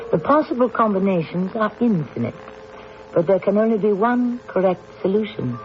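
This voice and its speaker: A man hosting a radio mystery, delivering scripted old-time narration in a measured, theatrical, philosophical manner. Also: high-pitched. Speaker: host, narrator. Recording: dull, hissy old radio recording.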